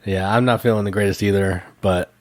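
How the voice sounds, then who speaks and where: Man podcast co-host, lowish voice, dry matter-of-fact replies, dry close mic. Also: steady tone, brisk, no room sound. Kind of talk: casual podcast chat.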